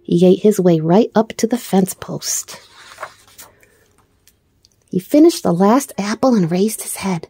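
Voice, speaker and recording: Mellow, expressive woman reading a storybook. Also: medium pitch, measured pace, pauses often, slightly rough. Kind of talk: expressive children's read-aloud narration.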